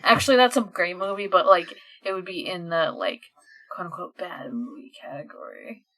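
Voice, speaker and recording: A woman co-hosting a podcast in casual chat, talking in an animated, informal way full of "like". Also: fairly low pitch, normal speed, low-quality recording. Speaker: podcast co-host.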